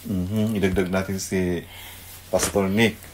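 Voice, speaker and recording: A middle-aged Filipino man with a deep voice hosts a devotional radio talk. He speaks very slowly and haltingly, feeling for his words as he switches between languages. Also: little pitch movement, slight background noise. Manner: halting, searching for words, mixes Tagalog and English. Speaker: radio devotional host, pastor.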